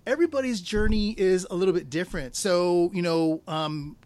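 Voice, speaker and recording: Upbeat male host, high voice, chatty and salesy, full of filler words. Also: normal speed, darkish tone. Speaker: podcast host. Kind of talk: casual interview and promo.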